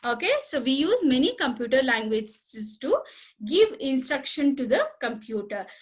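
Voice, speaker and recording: High-voiced teacher woman. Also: sing-song, normal speed. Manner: repetitive, addresses children directly, asks questions. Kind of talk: recorded school computer lesson.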